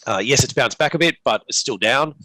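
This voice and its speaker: A man speaking as an investment expert in an unscripted interview, halting and often restarting his phrases. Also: medium pitch, sharp tone, dry recording. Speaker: investment manager, interview guest. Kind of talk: unscripted market commentary in a live Q&A.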